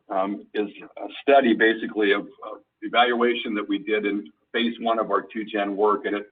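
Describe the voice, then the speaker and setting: A man giving an informal slide presentation over a conference call line, explaining things in a halting, deliberate way. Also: lowish voice, husky, recorded from a distance, narrow, tinny audio. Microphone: conference call phone line.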